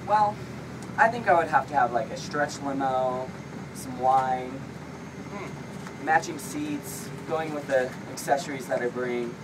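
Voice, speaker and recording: A man's voice: unhurried, close to an everyday voice. A man playing a fussy, vain, haughty dating-show suitor with a hesitant delivery in a comedy skit. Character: fussy, vain, dainty male suitor who loves accessories. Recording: distant mic, loud rumbling noise.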